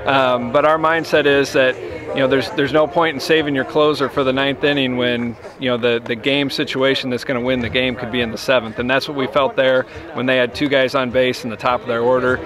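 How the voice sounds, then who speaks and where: Brief: thirties man, baseball coach, measured postgame answers, music behind him. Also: medium pitch, steady tone. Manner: measured, many you-knows and restarts. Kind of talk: postgame interview. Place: outdoors on a ballfield at night.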